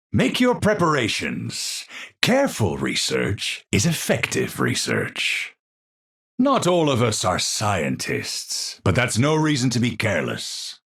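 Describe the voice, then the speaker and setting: Eccentric scientist, wildly swinging pitch. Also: male voice, fairly bright tone, slightly rough, full character voice. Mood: musing. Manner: formal, precise, theatrical delivery. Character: eccentric, troubled male genius physicist, erudite and obsessive. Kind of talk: video game character voice lines.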